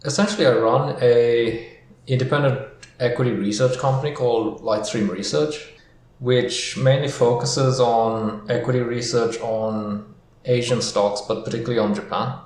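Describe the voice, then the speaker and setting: A man from Sri Lanka and Singapore, a podcast guest answering questions in a relaxed way with many ums. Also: mid-range voice, normal speed. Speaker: guest, equity research founder. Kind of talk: casual interview answers. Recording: echoey room, faint noise.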